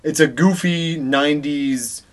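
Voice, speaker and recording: A man's voice: on the high side, a little slow. A man co-hosting a podcast in casual, digressive chat, swearing offhandedly as he rambles. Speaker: podcast co-host.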